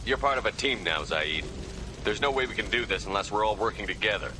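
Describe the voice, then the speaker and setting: A firm, principled military commander acted by a man in a game cutscene. He is stern and reproachful in a lowish voice, with loud battle noise behind him. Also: brisk, close to an everyday voice. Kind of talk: video game cutscene acting.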